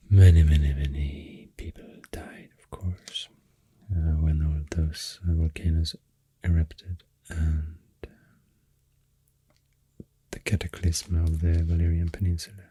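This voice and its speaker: Male ASMR creator, Swedish accent, soft breathy near-whisper; flat, slow, unscripted delivery on a close mic. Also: extremely low pitch, dry recording. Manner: hesitant, unscripted, unhurried. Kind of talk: soft-spoken ASMR rambling explanation. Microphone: close-up ASMR microphone.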